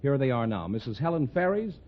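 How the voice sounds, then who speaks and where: A man's voice: mid-range voice, very animated, quick pace, a little hiss or hum. An American man announcing in 1940s radio style, with a polished, formal showman delivery. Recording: muffled 1940s radio recording.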